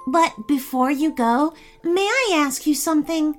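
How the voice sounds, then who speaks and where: A woman voicing a kind, curious little female pickle in a storybook reading, speaking gently and politely. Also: high-pitched, expressive, a little slow, soft background music, pitched above the performer's own voice. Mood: wistful.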